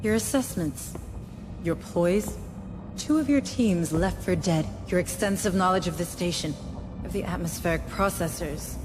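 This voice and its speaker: An actress playing a disillusioned, accusing colony administrator in a video game, speaking tersely. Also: female voice, lowish voice, moderate pace, over music, natural voice. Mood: coldly accusing. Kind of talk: dramatic video game cutscene acting. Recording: long hall-like reverb.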